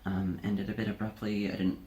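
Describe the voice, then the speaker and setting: Low-voiced man with chatty asides. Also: flat delivery, a little fast, mellow. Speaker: co-host and amateur fiction writer. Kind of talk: casual commentary and informal story reading. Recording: faint background noise, room ambience.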